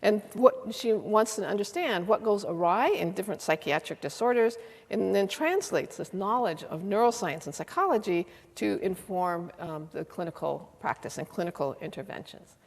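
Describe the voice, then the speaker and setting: A woman's voice: medium pitch, normal speed, creaky. Older woman introducing at a podium, hall reverb. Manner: reads a bio with fillers and restarts. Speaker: lecture series host introducing speaker. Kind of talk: formal spoken introduction. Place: lecture hall stage at a podium.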